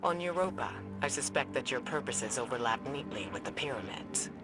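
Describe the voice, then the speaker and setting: An actress playing a composed, scholarly female spymaster in a video game, speaking in a measured, deliberate, grave way. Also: very deep voice, animated. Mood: grave, explanatory. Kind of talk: scripted video game dialogue. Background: background music.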